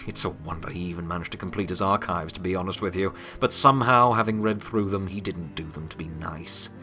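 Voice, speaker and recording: A man playing a weary, wry, remorseful pony who serves as Death, speaking in a reflective, halting, confiding monologue in an audio drama. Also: sits low, sharp tone, soft background music. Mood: bitter, subdued.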